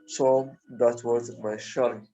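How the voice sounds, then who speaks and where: A man from Tanzania who runs a youth organization, speaking slowly and politely on a video call, halting as he gives formal thanks and asks a question. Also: medium pitch, level tone, mellow, dull audio.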